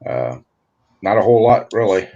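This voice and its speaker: Low-voiced man talking engines. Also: moderate pace, dull audio. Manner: relaxed, explains engine work with many fillers. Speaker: guest on livestream. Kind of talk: casual technical chat.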